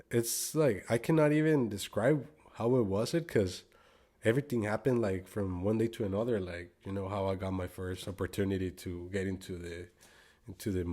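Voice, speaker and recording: A young man from Guatemala talks with a Spanish accent in a very low voice. He rambles along casually, his sentences filled with "like" and "bro." Now and then he drops into Spanish mid-thought. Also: darkish tone. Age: 20s. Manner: loose and rambling, lots of "like" and "bro", slips into Spanish. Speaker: guest. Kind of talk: casual interview chat.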